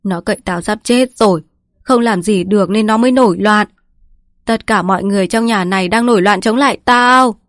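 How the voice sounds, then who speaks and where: A woman's voice: on the low side, steady tone, moderate pace, a little mellow, no room sound, close to an everyday voice. Storybook role: frail, dying old family patriarch read by a woman, rambling, bitter and mournful, very dry close sound. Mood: anguished. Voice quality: weak, breathy, trembling delivery. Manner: lamenting, rambling, scolding.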